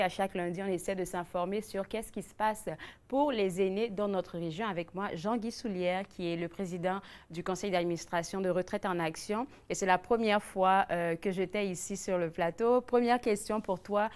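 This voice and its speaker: A woman's voice: mid-range voice, a little mellow. A woman hosting a community talk show, introducing guests and interviewing in a friendly, conversational way. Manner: friendly, conversational, quick to affirm. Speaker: TV show host.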